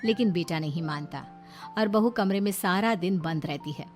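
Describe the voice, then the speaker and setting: A woman's voice: fairly low pitch, moderate pace, soft background music. A woman storyteller reading scripted narration for a moral story.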